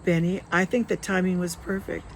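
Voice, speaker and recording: An earnest man of faith comforts an old friend in a novel read aloud by a woman. The delivery is gentle and sincere, with creak in the voice. Faint noise sits behind it. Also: on the low side, fairly even intonation, fairly quick. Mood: reassuring.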